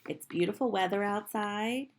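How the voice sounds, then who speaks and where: A woman reading a picture book aloud to young children in a deliberate, animated teacher's manner, with little asides to them. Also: mid-range voice.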